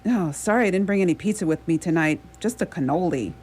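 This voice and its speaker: Woman acting a nervous, lonely costumed superhero dreamer, lowish voice. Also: expressive, quick pace, darkish tone, breathy edge. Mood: giddy, excited. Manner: theatrical, dithering, self-questioning. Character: lonely, nervous young superhero cosplayer reciting poetry on a rooftop. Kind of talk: community radio theatre acting.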